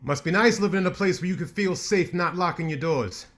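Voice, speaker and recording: An actor playing a brash, ambitious lawyer who talks with cocky sarcasm in a staged play reading. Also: male voice, high voice, fairly quick, fairly bright tone.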